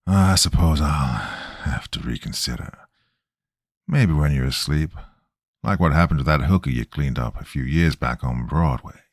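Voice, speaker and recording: A man doing a raspy, wheezing sinister stranger. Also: extremely low pitch, no echo, clean studio sound, voice pushed down, heavily acted. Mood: menacing. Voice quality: raspy, half-whispered wheeze. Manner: slow, sly and threatening.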